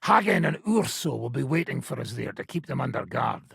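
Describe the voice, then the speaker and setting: A man voicing a gruff, sardonic middle-aged mercenary bandit in a video game, with a raspy, gravelly voice and a blunt, coarse, mocking manner. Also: mid-range voice, sing-song, fairly quick, a bit bright, no echo, clean studio sound, exaggerated voice. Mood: sardonic, scheming.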